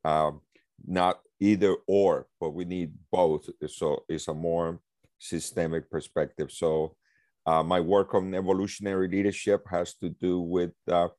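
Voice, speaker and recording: Man from Colombia, deep low voice, dry close recording, earnest, many fillers. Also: a little mellow, no echo. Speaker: guest, social psychologist. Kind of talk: self-introduction in a talk.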